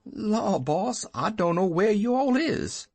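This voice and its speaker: A woman reading aloud and voicing a clumsy, plump male servant with a gravelly, husky sound. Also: medium pitch, animated, slow pace, a little mellow, dry recording. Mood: puzzled.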